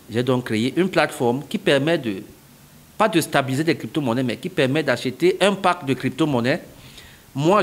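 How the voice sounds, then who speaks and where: Cameroonian man explaining at length. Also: mid-range voice. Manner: explanatory, repeats phrases for emphasis. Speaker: interviewee, company founder. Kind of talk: interview answers.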